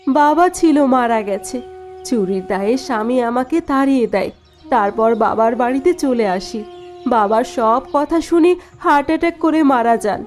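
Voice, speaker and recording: Lady as a wronged young cartoon wife, highish voice, sad and earnest, soft music behind. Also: normal speed, close to an everyday voice. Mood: sad recounting. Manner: plaintive, earnest. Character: sorrowful, proud young wife working at a food stall. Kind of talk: dubbed cartoon dialogue.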